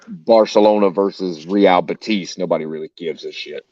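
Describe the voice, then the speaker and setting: A man's voice: deep voice, normal speed, darkish tone, no room sound, highs cut off. A man hosting a podcast in casual chat, loose and profane with lots of filler words. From United States. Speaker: podcast host.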